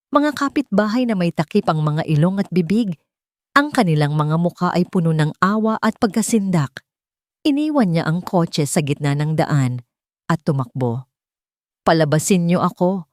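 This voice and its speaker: A woman's voice: on the low side, darkish tone, no room sound, clean studio sound. A woman reading a scripted dramatic story as its narrator.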